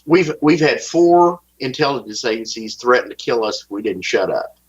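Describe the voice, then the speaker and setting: A man with a Texas accent, giving halting interview testimony and often repeating words as he starts a sentence. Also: medium pitch, lively intonation, slightly rough. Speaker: guest. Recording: dull lo-fi audio.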